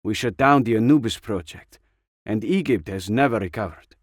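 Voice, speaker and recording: A man's voice: fairly low pitch, moderate pace, dry recording, clean studio sound, close to an everyday voice. A man voicing a weary, stern, principled middle-aged woman, an earnest veteran soldier, in an audiobook story. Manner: earnest, disapproving.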